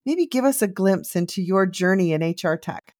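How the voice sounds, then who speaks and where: A woman's voice: medium pitch, expressive, moderate pace, a little mellow, no room sound, clean studio sound. A woman co-hosting a recruitment podcast, asking direct, friendly interview questions. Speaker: podcast co-host.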